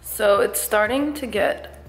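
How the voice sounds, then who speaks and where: A young woman chats to the camera in a loose vlog style, sounding stuffy from a cold and leaning on "like" as she talks. Her voice sits at a medium pitch in a roomy home room, with soft music behind her. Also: takes it slowly. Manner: chatty, lots of "like", giggly. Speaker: beauty vlogger. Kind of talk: casual chatty vlog. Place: bedroom with decorated shelves.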